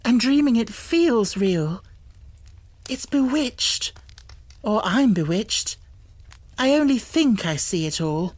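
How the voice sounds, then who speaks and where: A woman's voice: a little high, animated, slow, long pauses, a little mellow, dry recording, pitched above the performer's own voice. A woman voicing a poor, dreamy young girl full of wonder, in rapt, fervent exclamations for a storybook. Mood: amazed, rapturous. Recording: music bed under the voice. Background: music.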